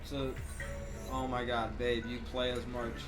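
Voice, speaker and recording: Excitable male game streamer over music. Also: medium pitch, measured pace, poor audio. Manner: excitable, slangy, swearing. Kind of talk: casual live gameplay commentary.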